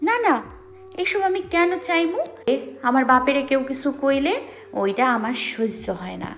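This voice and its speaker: A woman's voice: high voice, very animated, moderate pace. A woman playing a young, outspoken village bride with a rural Bangladeshi accent in an audio drama, plaintive and reproachful. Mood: alarmed. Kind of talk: Bengali audio story acting. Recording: dull lo-fi audio with music.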